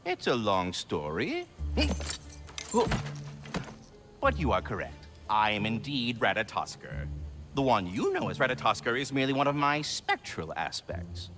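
A man's voice: mid-range voice, wildly swooping pitch, moderate pace, over music. A man voicing a chatty, courtly talking squirrel in a video game, fussy and affable with pompous, theatrical enunciation. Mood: affable, explanatory. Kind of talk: video game character acting.

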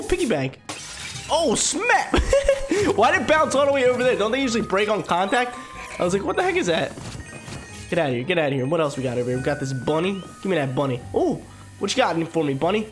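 A congested young man with a stuffy nose giving energetic, slangy let's-play commentary into a headset, with sudden squeaky exclamations. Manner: energetic, slangy, jumps to high-pitched exclamations. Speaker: gaming YouTuber. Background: game music.

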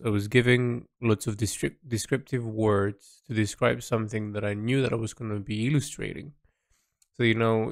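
A man thinking aloud in an audio log about the story he is writing and illustrating, halting and restarting often. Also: lowish voice, vocal fry, dry recording, studio quality. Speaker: creator discussing his illustrated story project. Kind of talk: casual spoken audio log.

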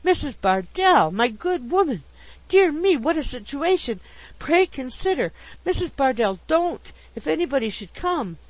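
A narrator voicing an amiable, genteel older gentleman from a storybook, easily flustered and given to exclamations. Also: mid-range voice, lively intonation, moderate pace, no room sound, voice pushed up, lightly acted, rough recording. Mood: astonished, flustered.

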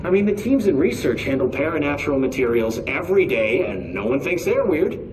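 A nervous, earnest security officer in a video game, chattering over an intercom. His pitch moves in a very lively way as he rambles and corrects himself. The sound is echoey, with clear noise behind it. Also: brisk, recorded from a distance. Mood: chatty, earnest. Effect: intercom speaker.

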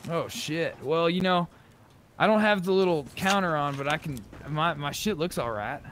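A man's voice: fairly high pitch, lively intonation, moderate pace, fairly bright tone. A man chatting casually with other players during an online roleplay game. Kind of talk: casual gaming chat with in-character roleplay. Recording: faint background noise, game ambience.

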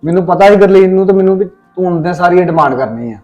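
A fond older brother, played by a man, mildly exasperated but reassuring. He speaks quickly with a Punjabi accent and a slightly high voice. Soft music hums in the background. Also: lively intonation, lo-fi sound. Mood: teasing. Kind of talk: comic family skit acting.

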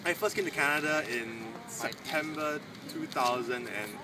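A man's voice: a little high, normal speed. Young man from Singapore, outdoor testimonial. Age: 20s. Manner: hesitant with frequent uh fillers. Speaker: former student giving a testimonial. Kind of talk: casual spoken testimonial to camera. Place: outdoors in front of a campus building. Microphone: camera mic from a few meters away. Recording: distant voice with loud outdoor noise.

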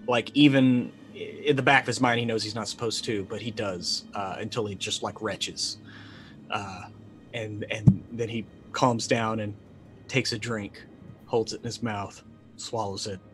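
A middle-aged man playing a tabletop roleplaying game, narrating casually and haltingly as he thinks aloud. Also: on the low side, fairly even intonation, faint music behind. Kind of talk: improvised in-character narration, casual. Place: indoor room, video call.

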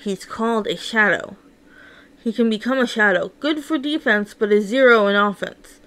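A woman's voice: mid-range voice, moderate pace, a bit bright, clean tone, rough recording. A woman narrating a first-person story read-along in an emotive, theatrical way.

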